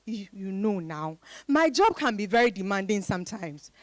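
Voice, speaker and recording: A woman's voice: medium pitch, very animated, normal speed, no room sound, close to an everyday voice. An actress with a Ghanaian accent playing a proud, independent professional woman in a dramatized reading, emphatic and exclaiming. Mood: frustrated.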